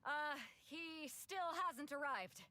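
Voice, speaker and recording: A woman's voice: high-pitched, slow, pushed and bright, no room sound, exaggerated voice. An actress playing a bold female tournament announcer in a video game, calling out to a crowd in a showy, projecting manner. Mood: announcing.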